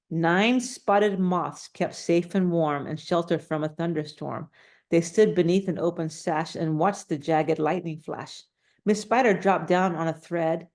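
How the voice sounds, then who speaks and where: Woman reading a picture book to kids, lowish creaky voice, chatty little explanations. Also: a little mellow. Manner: explains simply and asks listener questions. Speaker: storybook reader. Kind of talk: read-aloud children's story with casual asides.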